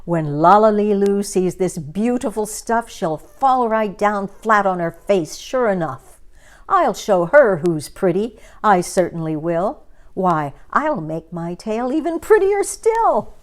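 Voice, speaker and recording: Woman doing a young girl bird from a storybook, vain n jealous, gushy, slow talking. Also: mid-range voice, very animated. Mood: boastful. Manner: gushing, boastful, exclamatory. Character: vain, envious, excitable young female bird. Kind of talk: storybook character voice.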